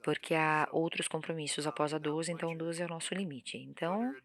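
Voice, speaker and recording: A woman's voice: low voice, steady tone, a little fast. A woman opening a lecture event as moderator, giving formal thanks and rephrasing herself, with tag questions along the way. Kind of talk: formal welcome speech. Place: auditorium stage.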